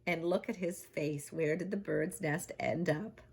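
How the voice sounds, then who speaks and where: A woman's voice: lowish voice, unhurried, gravelly. A woman teacher reading a picture book aloud to young children, expressively, with asides and questions.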